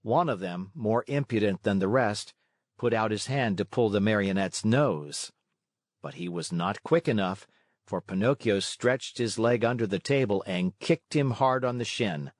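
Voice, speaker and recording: A man narrating a children's read-along story in an expressive, scripted style. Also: on the low side, a little slow, no echo, clean studio sound.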